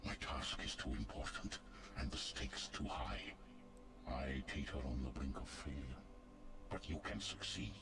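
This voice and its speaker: An actor playing a grave guardian of the souls of the dead in a fantasy video game, speaking formally and solemnly. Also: male voice, a bass voice, vocal fry, full character voice. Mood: urgent, pleading. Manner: formal, solemn, archaic phrasing. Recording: noisy background ambience.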